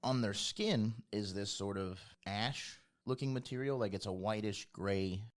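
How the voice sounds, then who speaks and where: A man's voice: lowish voice, unhurried. A man working as game master, improvising scene narration in an informal, descriptive way.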